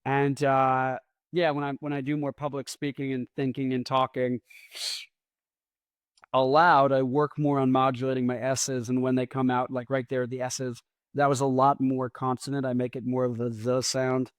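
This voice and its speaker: A man's voice: mid-range voice, little pitch movement, normal speed, dry recording, clean studio sound. A man hosting a podcast in a rambling, casual solo monologue. He mumbles his words and lets his S sounds drag out. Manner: rambling, self-deprecating. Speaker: podcast host.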